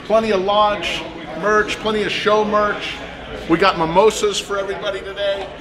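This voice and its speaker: A man's voice: high-pitched, little pitch movement, moderate pace. A man hosting a walking tour of a poker room like an upbeat presenter, pointing the camera at each area. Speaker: poker show host. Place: large indoor poker room. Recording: echoey room with crowd noise. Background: crowd chatter.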